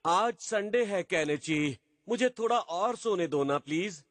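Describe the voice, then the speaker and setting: A man voicing a mild, easygoing middle-aged father in a cartoon dub, with a hesitant, drawn-out manner. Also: high-pitched, animated, dry recording. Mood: sleepy, reluctant. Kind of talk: Hindi cartoon dub acting.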